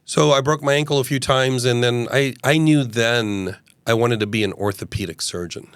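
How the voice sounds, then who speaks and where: A man's voice: medium pitch, normal speed, clean tone, no echo. A man in his fifties from Uganda, a mayoral candidate telling his life story in a casual interview, earnest and expansive with frequent fillers. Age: 50s.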